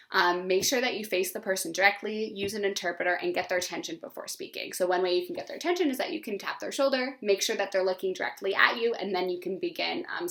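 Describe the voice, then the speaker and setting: A woman from Canada's East Coast gives an educational presentation as a teacher. Her voice is bright and clear, sitting at a middling pitch. She sounds earnest and eager, talking informally as if to a class. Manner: earnest, informal, enthusiastic about the topic.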